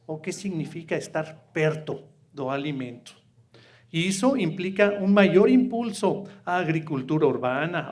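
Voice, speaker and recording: Mexican man speaking halting Portuguese. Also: fairly high pitch. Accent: Mexican Spanish. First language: Spanish. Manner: halting, with many fillers and repeated words. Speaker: panel speaker from an international food agency. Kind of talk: panel talk, partly reading a prepared statement.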